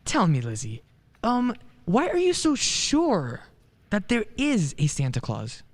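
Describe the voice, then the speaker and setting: An amateur actor playing an earnest, hesitant defence lawyer in a radio play, courteous and halting. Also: mid-range voice, huge pitch swings, exaggerated voice. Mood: gentle questioning.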